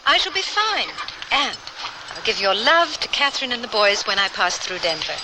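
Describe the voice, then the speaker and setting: A woman's voice: on the high side, sing-song, normal speed, background noise. An actress playing an intrepid, proper Victorian lady traveler in a radio drama, speaking in formal literary phrases. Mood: gentle farewell. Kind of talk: old-time radio drama acting and narration.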